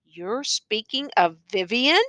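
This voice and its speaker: A woman playing an earnest, exasperated male schoolteacher, bright-voiced. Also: a little high, animated, slow, dry recording. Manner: pleading, insistent, educated diction.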